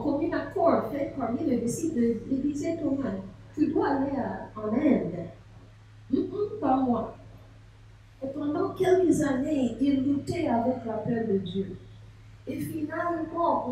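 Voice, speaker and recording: A woman's voice: high voice, dark tone, a little hiss or hum. A woman pastor, preaching a sermon and telling a story with rhetorical questions. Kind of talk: sermon at a seminar. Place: large echoey church hall. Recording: echoey hall from far off.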